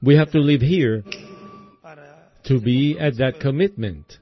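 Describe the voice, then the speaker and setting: Slow, hoarse male preacher. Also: mid-range voice, dull audio, faint music behind. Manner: deliberate, halting phrasing with many pauses. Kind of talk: sermon.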